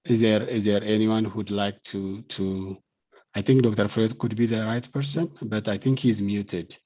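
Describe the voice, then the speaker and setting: A man moderating an online question session, speaking haltingly and repeating words as he searches for phrasing. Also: lowish voice, fairly even intonation, dark tone. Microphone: video call. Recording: dull, lo-fi video call audio.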